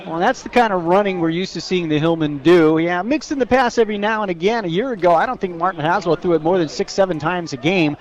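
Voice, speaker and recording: Slightly muffled, high-voiced American football analyst, male. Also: fast talker, few pauses, slight background noise. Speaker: color commentator. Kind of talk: live radio sports commentary, analytical. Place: outdoors at a football stadium. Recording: slightly dull radio broadcast audio.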